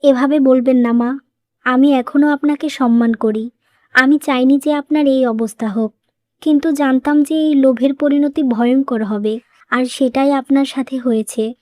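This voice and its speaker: A woman playing a composed, persuasive young daughter-in-law in a cartoon moral story, explaining things in a measured way. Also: high voice, fairly even intonation, darkish tone, no echo, lightly acted. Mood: composed, reproachful.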